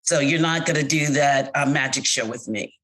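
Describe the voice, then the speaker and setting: Middle-aged American woman, low-ish voice, candid interview storyteller, animated when quoting others. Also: normal speed, slight background noise, low-quality recording. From United States. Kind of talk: personal account in an interview.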